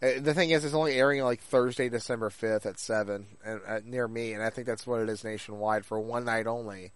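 A man's voice: mid-range voice, steady tone, a little fast, clean tone, no room sound. A man chats casually as a podcast co-host, his voice slightly toward the middle of the male range. He rambles through his thoughts and quotes remembered remarks in a conversational way. The sound is dry, with very little room. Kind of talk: casual chat about anime.

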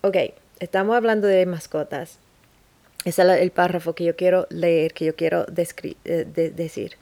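A woman talking on her podcast in an unscripted monologue, halting, with frequent restarts and pauses. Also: fairly low pitch, steady tone, dark tone, no room sound.

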